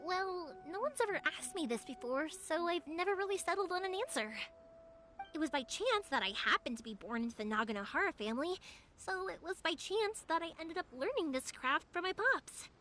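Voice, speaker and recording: A woman playing a cheerful, warm-hearted young firework maker in a video game, chatty and sincere, with a girlish voice. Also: very high voice, expressive, a little fast, heavily acted. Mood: earnest, reflective. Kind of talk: video game character acting. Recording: music behind the voice.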